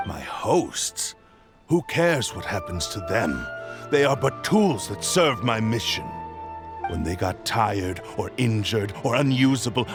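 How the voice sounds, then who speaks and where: A man playing an arrogant, vengeful sentient sword that possesses people, speaking in a grandiose, menacing villain style for a video game. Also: fairly low pitch. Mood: cold, gloating. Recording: loud background music.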